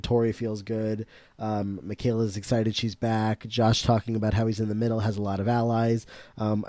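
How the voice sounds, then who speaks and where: A congested, tired man talks casually about a reality show, his low, mellow voice often drifting down to a murmur. The sound is close and dry, with no room around it. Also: no echo. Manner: relaxed, chatty, trails off quietly. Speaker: podcast co-host. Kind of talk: casual reality-TV recap chat.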